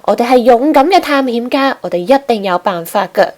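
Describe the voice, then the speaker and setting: A bold little penguin explorer from a storybook, played by a woman. The voice is very high and eager, calling out urgently and bravely. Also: animated, fairly quick, darkish tone, close to an everyday voice. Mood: encouraging. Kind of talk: children's storybook character voice.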